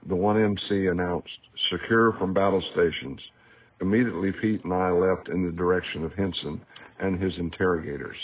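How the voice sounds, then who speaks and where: A man's voice: deep voice, steady tone, moderate pace. A man reading aloud from his own book in a scripted audiobook narration style, recorded with a tinny, narrow sound. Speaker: author reading a book excerpt. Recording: tinny, phone-like narrow band.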